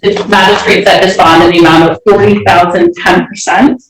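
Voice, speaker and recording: A woman's voice: fairly low pitch, fairly even intonation, moderate pace, slight background noise. A woman prosecutor making a formal, methodical argument to a judge in a courtroom. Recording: very echoey courtroom, distant mic.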